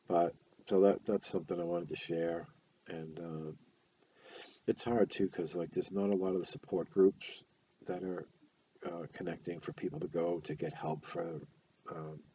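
Stuffed-up older man, deep dark voice. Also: steady tone, lo-fi sound, slight background noise. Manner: halting, many fillers and restarts. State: stuffy nose. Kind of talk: casual talk to camera. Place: small room at home, indoors. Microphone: webcam close up.

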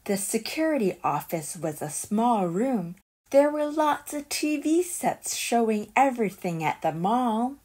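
Slow-reading woman storyteller, higher voice. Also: very noisy. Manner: expressive, deliberate storytelling. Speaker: read-aloud storyteller. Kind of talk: children's picture book read-aloud.

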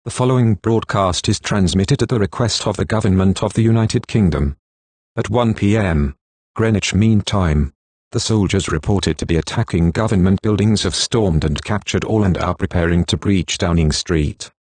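A male announcer with a very low voice reads a formal emergency bulletin. The delivery is measured and flat, with a synthetic feel. The sound is husky and dry, with little room around it. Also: no room sound.

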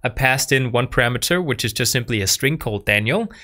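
Young male tutor in his twenties, bright tone, patient beginner-friendly explainer, close studio mic. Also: mid-range voice, animated, fairly quick. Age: 20s. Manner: patient, repeats himself for beginners. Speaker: programming tutor. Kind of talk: explaining code to camera. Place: dark studio, indoors. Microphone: studio mic on boom arm.

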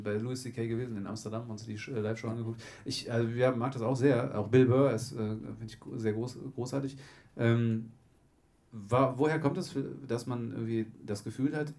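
A man's voice: mid-range voice, a little mellow. Young male host asking loose, rambling questions. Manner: rambling questions with restarts and fillers, jokey. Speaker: show host. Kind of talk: casual onstage interview. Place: small living-room stage with a live audience. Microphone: handheld mic.

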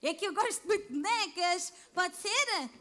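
A woman's voice: extremely high pitch, expressive, normal speed, fairly bright tone. A woman children's entertainer on an outdoor stage, talking in an exaggerated, sing-song way. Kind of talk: playful stage show for kids.

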